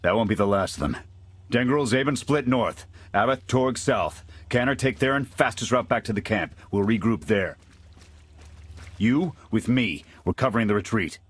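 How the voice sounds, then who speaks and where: An actor playing a gruff veteran male soldier who leads a squad, blunt and clipped, in a video game. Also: expressive, moderate pace, no room sound. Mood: commanding. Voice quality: deep gravelly voice. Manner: blunt, clipped military orders. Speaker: squad leader.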